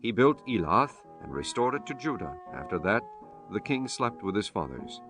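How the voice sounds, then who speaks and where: A man reading scripture as an audiobook narrator in a solemn, measured, formal manner. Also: lowish voice, big pitch swings, a bit bright, faint music behind.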